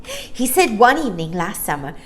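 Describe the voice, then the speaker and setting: A woman voicing a bright, excitable little star-child girl in a children's storybook, gushing with breathless delight. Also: mid-range voice, moderate pace, pitched above the performer's own voice, close to an everyday voice. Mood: excited, chatty.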